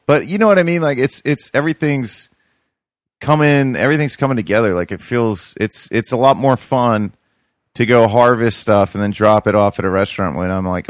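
Casual talker, young man, very low voice, loose rambly way of speaking. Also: no echo, studio quality. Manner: rambling, many fillers and restarts. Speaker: podcast co-host, urban farmer. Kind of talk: casual chat.

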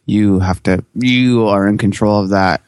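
Teasing co-host, a man with a fairly low voice and a quick laugh. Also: steady tone, darkish tone, clean tone, dry recording, clean studio sound. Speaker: podcast co-host, farmer. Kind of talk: casual chat.

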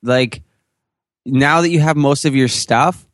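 A man's voice: mid-range voice, takes it slowly, fairly bright tone, no echo, studio quality. A man in casual podcast chat, teasing his co-host and laughing easily.

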